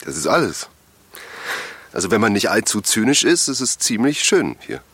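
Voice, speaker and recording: A middle-aged man acting a calm father of two in an audio drama, giving short, dry answers. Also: fairly low pitch, moderate pace, breathy edge, no room sound, full character voice. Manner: calm, dry, brief replies. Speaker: local villager.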